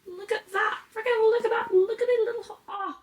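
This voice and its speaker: A woman's voice: very high-pitched, fairly even intonation, fairly quick. A woman chatting casually and enthusiastically as she shows off books, gushing in the manner of a book vlogger. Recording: clear background noise.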